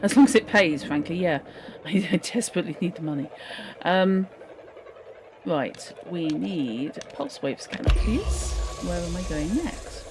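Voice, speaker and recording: A woman's voice: lowish voice, measured pace, noisy background. Chatty woman streaming a game. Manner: chatty, giggly, animated asides. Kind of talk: casual gaming stream chat.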